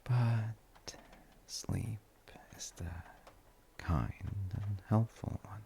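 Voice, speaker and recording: A man playing a gentle, musing father in a sleep-aid audio roleplay, talking in unhurried, halting rambles with a low, gravelly voice. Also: slight background noise, exaggerated voice. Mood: soothing, musing. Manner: unhurried, musing, halting with soft trailing phrases. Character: gentle, musing father comforting his tired grown child.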